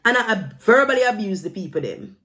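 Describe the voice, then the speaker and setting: A woman's voice: medium pitch, big pitch swings, a little fast, a bit bright. A woman from Jamaica with a Jamaican accent, talking casually to her viewers in an emphatic, admonishing way and slipping into Patois phrases. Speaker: vlogger giving her opinion. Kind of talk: casual opinion talk to camera.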